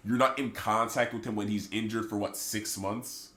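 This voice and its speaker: A man with a congested, sick-sounding voice holds forth on a casual podcast. He sits on the lower side and rants with firm opinions. The room around him rings with echo. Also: steady tone, moderate pace, pushed and bright. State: stuffy, sick voice. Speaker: podcast co-host. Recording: echoey room.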